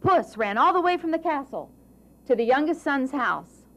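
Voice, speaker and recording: A woman reads a children's fairy tale as its narrator. Her voice is on the high side and moves up and down with great animation. The phrasing is expressive and deliberate, in a storybook style.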